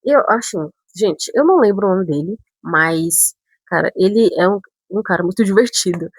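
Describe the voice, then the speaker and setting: A chatty young woman from São Paulo tells a story straight to camera. Her speech carries touches of a northern Brazilian accent. Her voice is a little husky and breathy, and she jumps into animated asides. The sound is close and dry, with no room echo. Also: mid-range voice, normal speed. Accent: northern Brazilian touches mixed with São Paulo speech. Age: 20s. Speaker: vlogger.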